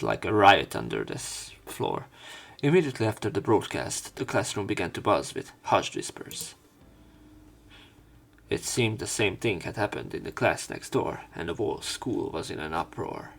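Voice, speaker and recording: A man's voice: lowish voice, huge pitch swings, measured pace, creaky. A man reading a visual novel aloud as a let's player, with casual commentary and joking asides. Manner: joking asides between read passages.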